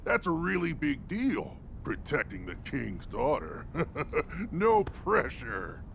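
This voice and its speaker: Gravelly jovial Goron giant. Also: male voice, fairly high pitch, wildly swooping pitch, slow pace, a bit bright, a little hiss or hum, exaggerated voice. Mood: teasing. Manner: boisterous, folksy and hearty. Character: jovial hulking male rock-eating Goron champion. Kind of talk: video game cutscene acting. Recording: game audio with music behind.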